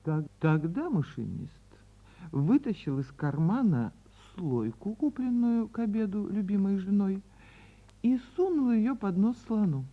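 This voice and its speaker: A woman narrates a fairy tale in the manner of a radio theatre actress. Her husky voice rises and falls widely, lingering on words with dramatic pauses. The recording is slightly dull, as from older radio. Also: medium pitch, big pitch swings. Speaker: actress reading a story.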